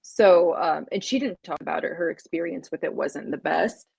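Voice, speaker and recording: A woman's voice: fairly low pitch, moderate pace, creaky, darkish tone, slightly rough, no room sound. A woman in her thirties, a podcast guest, telling her life story in a casual, chatty way, full of fillers and likes. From United States and Palestine.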